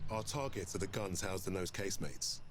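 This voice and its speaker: An actor playing a steady, determined army leader in a war video game, speaking in a resolute, measured way. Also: male voice, sits low, background noise, lightly acted. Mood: focused. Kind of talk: dramatic war game acting.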